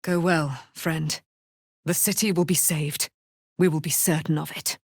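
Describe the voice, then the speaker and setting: An actress playing a proud, wrathful immortal warrior paladin in a fantasy video game, declaiming in grand archaic, formal language. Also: female voice, sits low, steady tone, measured pace, slightly rough, no room sound, clean studio sound, heavily acted. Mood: solemn, measured.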